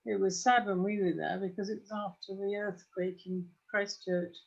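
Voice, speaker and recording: An older woman, chatting knowledgeably in a measured, hesitant way on a video call. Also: medium pitch, level tone, creaky. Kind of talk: casual, knowledgeable chat on a group wine call. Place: home study, on a video call. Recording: muffled, dull video-call audio.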